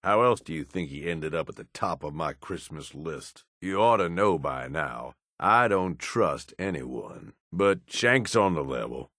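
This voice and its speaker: An actor playing a gruff, cynical middle-aged American raider lieutenant in a video game, blunt and folksy with a drawl. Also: male voice, animated, unhurried, hardly any pauses, a bit bright, no echo, clean studio sound, exaggerated voice. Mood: matter-of-fact. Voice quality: low, gruff. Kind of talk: video game companion voice lines.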